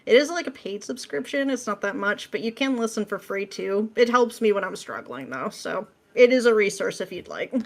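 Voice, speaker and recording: A woman's voice: medium pitch, animated, normal speed, vocal fry, few pauses. A woman chatting casually as an interview guest, answering questions in an informal, self-deprecating way. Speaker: interview guest, script writer.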